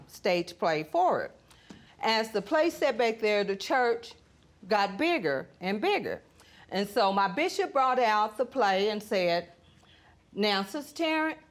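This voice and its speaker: A woman giving conversational testimony as a plaintiff in a television courtroom. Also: medium pitch. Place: TV courtroom studio.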